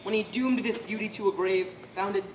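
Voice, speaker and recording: A woman's voice: mid-range voice, fairly quick, lightly acted. Woman playing proud angry nobleman, echoey stage. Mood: grieving outrage. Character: proud, indignant English nobleman, quick to anger. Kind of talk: amateur Shakespearean stage acting. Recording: echoey room, distant mic, faint noise.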